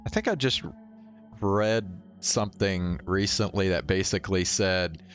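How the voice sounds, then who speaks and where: A man chatting casually as he streams a game. His voice is on the low side and very unhurried, laid-back and halting, with a slightly dull sound and soft music behind. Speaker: livestreamer.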